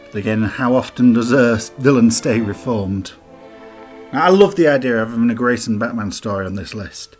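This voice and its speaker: A man's voice: mid-range voice, a bit bright. Man narrating over music. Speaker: host of a top ten list video. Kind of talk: scripted video narration, informal commentary. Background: background music.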